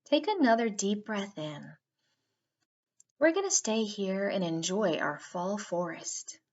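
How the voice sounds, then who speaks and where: A woman narrating a guided meditation for children in a soothing, unhurried way with drawn-out phrasing. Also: mid-range voice, lively intonation, darkish tone, highs cut off.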